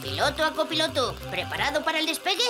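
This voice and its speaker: High, very animated older boy. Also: fast, loud music behind the voice. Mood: confident. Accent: Castilian Spanish. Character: cheerful, confident older boy, a friendly playmate. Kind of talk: children's cartoon dub acting.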